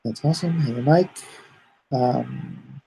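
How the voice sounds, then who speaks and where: A middle-aged man streaming live from home, reading chat aloud and answering it in an easygoing, even-tempered way. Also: medium pitch, takes it slowly, creaky, slight background noise, poor audio. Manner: calls himself soothing and even-tempered; reads chat comments aloud and answers them.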